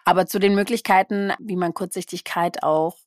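A woman host with a lowish voice asks curious follow-up questions. She grew up bilingual in German and Portuguese. The sound is dry and close. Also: steady tone, moderate pace, dry recording. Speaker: podcast host. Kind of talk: interview conversation.

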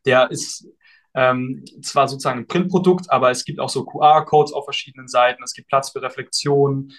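Young man, podcast guest, laid-back, unhurried, lots of ums and hedges. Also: mid-range voice. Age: young adult. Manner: relaxed, thoughtful, many fillers and hedges. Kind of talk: casual podcast interview.

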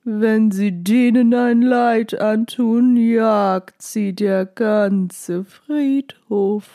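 A woman voicing an eerie, formal hotel porter in an audio play, speaking in a drawn-out, ceremonious way. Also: on the high side, warm tone, dry recording, clean studio sound. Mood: ominous warning. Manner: slow, drawn-out, ceremonious delivery.

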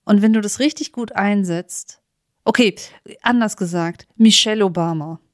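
Female podcast co-host, mid-pitched, animated and informal, thinks aloud, very dry recording. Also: normal speed, dry recording. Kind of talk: casual podcast chat.

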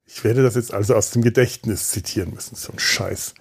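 A man hosting a solo podcast in a casual, rambling style, halting and interrupting himself with repeated words, with wry, self-deprecating humor. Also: lowish voice, fairly even intonation, gravelly, breathy edge. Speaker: podcast host.

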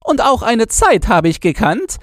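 A man voicing a boastful, nostalgic old chained dog in a shrill, yapping falsetto for a storybook. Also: sing-song, unhurried, no room sound, studio quality, heavily acted. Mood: knowing, gruffly boastful. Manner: knowing, exclamatory, barking.

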